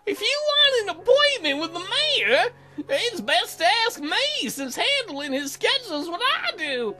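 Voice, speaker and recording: A bright, eager colonist woman from a visual novel, acted out by a man. The voice sits extremely high and swings widely in pitch. The delivery is prim and polite, with music underneath. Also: big pitch swings, unhurried, heavily acted. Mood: prim, helpful. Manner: prim, chirpy, put-on woman's voice.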